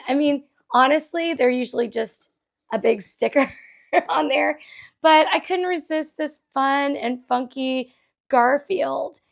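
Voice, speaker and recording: A woman's voice: high-pitched, vocal fry. A middle-aged woman hosting a thrift haul vlog in a chatty, enthusiastic way, her voice a little scratchy after a concert. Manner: chatty and enthusiastic, laughs often. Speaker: vlog host. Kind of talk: casual thrift haul chat.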